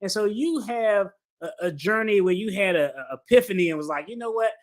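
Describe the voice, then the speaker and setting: Male interview host, high-pitched voice, informal and slangy, easy conversational delivery. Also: expressive, fairly quick. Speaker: podcast host interviewing a guest. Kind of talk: casual interview chat.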